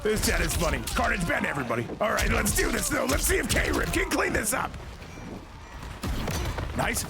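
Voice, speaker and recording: A man hosting and commentating a fighting-game tournament stream, giving hyped, rapid shout-outs to chat. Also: high voice, very animated, vocal fry, loud music behind the voice.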